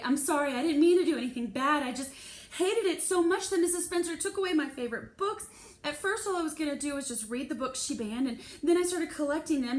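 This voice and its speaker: A woman's voice: sits high, roomy sound, pitched above the performer's own voice. A woman voicing an anxious, bookish schoolgirl in a children's storybook, earnest and pleading. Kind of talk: children's novel read-aloud.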